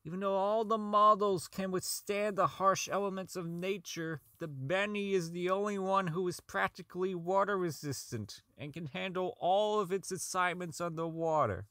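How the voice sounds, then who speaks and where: A man's voice: sits high, moderate pace, hardly any pauses, pushed and bright, no echo. A man playing a socially inept, unravelling robot designer in an audio drama log, rambling, stammering, swearing and repeating himself. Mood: proud pitch.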